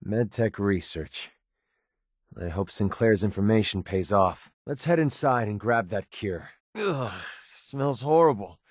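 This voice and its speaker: An actor playing a cynical but loyal young mercenary sniper in a video game, with an American accent and a sardonic, casual way of talking. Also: male voice, on the low side, animated, a little slow, no echo, clean studio sound. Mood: disgusted. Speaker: video game companion character. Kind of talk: scripted game voice acting.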